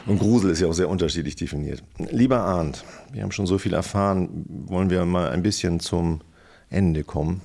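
A man's voice: low voice, a little fast, creaky, a little mellow. A man hosting a casual conversational interview, asking informal, rambling questions. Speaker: podcast host interviewer.

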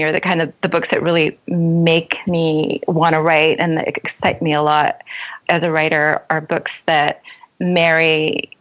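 A woman's voice: sits low, a bit bright, lo-fi sound. A woman author speaking as an interview guest, articulate and thoughtful as she reflects on writing.

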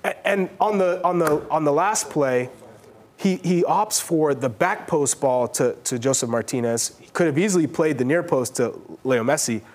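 A man's voice: on the high side, moderate pace, darkish tone. Analytical male soccer pundit, emphatic. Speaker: soccer pundit and former player. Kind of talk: analytical sports panel discussion.

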